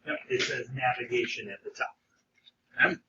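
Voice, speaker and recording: Hoarse male teacher in a roomy classroom. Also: medium pitch, wildly swooping pitch, moderate pace, noisy background. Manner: frequent "okay?" check-ins with students. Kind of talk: classroom lecture. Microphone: camera at a distance. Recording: roomy, distant, dull classroom sound.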